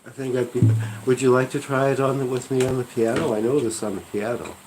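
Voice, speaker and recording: A man with a mid-range voice makes brief, matter-of-fact remarks in a casual chat. Loud guitar and piano music plays in the background. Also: medium pitch, fairly even intonation, fast, rough recording. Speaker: music partner.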